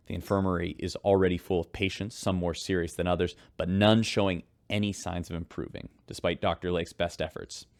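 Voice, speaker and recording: An actor playing a folksy spaceship radio host, delivering scripted news reports in an informal, wry announcer style. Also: male voice, low voice, no echo, studio quality. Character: folksy male radio newscaster aboard a spaceship.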